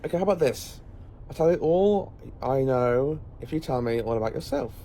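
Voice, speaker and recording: A man acts an eccentric, brisk professor who travels through time, in an audio drama. His voice is soft-edged and mellow at a middling pitch. He muses in halting phrases and gives orders with authority. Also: expressive, a little hiss or hum, close to an everyday voice. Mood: probing.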